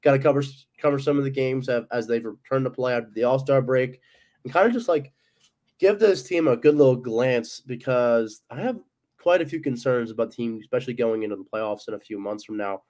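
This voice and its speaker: A man's voice: mid-range voice, fairly quick, darkish tone. A young man with a stuffy, cold-clogged voice, hosting a casual sports podcast and rambling with lots of ums and likes. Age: young adult. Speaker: podcast host.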